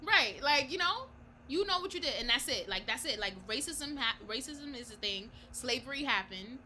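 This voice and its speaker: Bright high-voiced young woman. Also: animated, moderate pace. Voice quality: high-pitched voice. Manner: frank, laughs often, trails off and restarts. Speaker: podcast co-host. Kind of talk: casual chat. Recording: virtual call, faint background noise.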